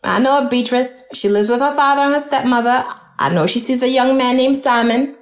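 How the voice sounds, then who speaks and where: Woman playing high-voiced protective mother. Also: fairly quick, close to an everyday voice. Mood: confiding. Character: protective, courteous Southern mother, middle-aged. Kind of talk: audio drama dialogue.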